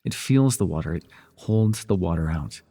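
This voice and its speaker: Breathy, low-voiced teasing villain mentor played by a man. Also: expressive, moderate pace, heavily acted. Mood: patient, instructing. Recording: reverberant indoor pool echo.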